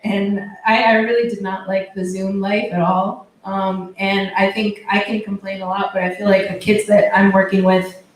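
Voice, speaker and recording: A woman's voice: mid-range voice, flat delivery, normal speed. A young woman from Boston, a musician guest in a casual interview, speaking thoughtfully with frequent restarts and fillers. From Boston, USA. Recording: echoey room, distant mic, faint noise.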